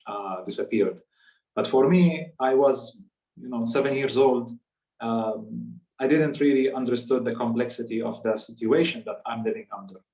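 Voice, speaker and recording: A man in his thirties with a Palestinian accent, a native Arabic speaker, telling a personal story in a measured, halting way. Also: medium pitch, a little mellow. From West Bank, Palestinian territories. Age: 30s. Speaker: guest speaker. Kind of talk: personal storytelling in a public talk. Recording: echoey room, distant microphone.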